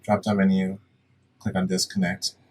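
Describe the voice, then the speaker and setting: A man presenting a casual step-by-step software tutorial in a plain-spoken, instructional way. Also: sits low, completely flat delivery, normal speed. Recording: distant mic, faint background noise.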